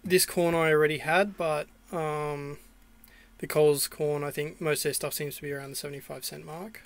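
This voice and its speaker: A man's voice: on the high side, level tone, normal speed. An Australian man talking casually through a cooking walkthrough inside a small van, with many ums and restarts. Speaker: vlogger.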